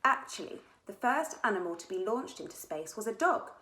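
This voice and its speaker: A woman voicing a bold, confident young schoolgirl leader in a storybook, brisk and encouraging. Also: mid-range voice, very animated, pushed and bright. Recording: echoey room.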